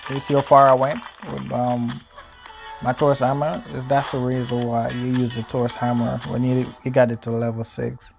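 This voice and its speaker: A man's voice: medium pitch, monotone, vocal fry, over music. A man originally from Jamaica, talking casually through gameplay tips with frequent ums. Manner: explains slowly with many ums. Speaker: gamer giving tips.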